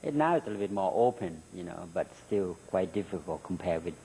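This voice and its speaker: Deep-voiced man with a Thai accent. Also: animated, warm tone, a little hiss or hum, low-quality recording. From Thailand. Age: middle-aged. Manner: halting, many "uh" and "you know" fillers, laughs between answers. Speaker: interview guest. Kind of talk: recorded interview.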